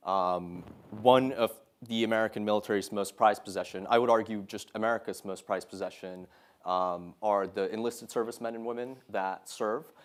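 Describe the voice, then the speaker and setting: A man in his thirties speaks to a live audience in a lecture room in a slightly low voice. He is earnest and measured, and he fills his pauses with frequent "uh" and "um". It sounds like a guest talk, not a script. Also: fairly even intonation. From Saudi Arabia. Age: 30s. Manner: earnest, measured, many "uh" and "um" fillers. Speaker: guest speaker, Marine officer.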